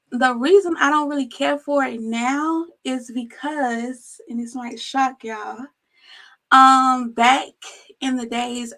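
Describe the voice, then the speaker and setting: High, slow, gravelly-voiced woman. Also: pushed and bright. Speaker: guest. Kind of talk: casual chat.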